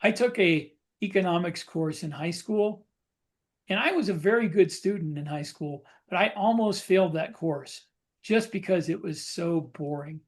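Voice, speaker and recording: A man's voice: high-pitched, normal speed. A middle-aged American man in casual conversation as a podcast co-host, plain-spoken, often restarting his sentences. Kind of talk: casual chat.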